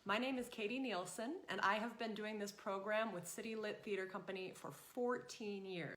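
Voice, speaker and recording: Bright-voiced woman presenter in a roomy space. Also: medium pitch. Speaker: host and presenter. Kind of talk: scripted introduction to a virtual reading program.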